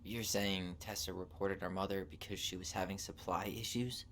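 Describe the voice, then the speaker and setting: A man's voice: deep voice, steady tone, normal speed, a bit bright. An actor playing a wounded, angry young American man in a naturalistic video game drama. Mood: bitter, accusing. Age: young adult. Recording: noisy game capture.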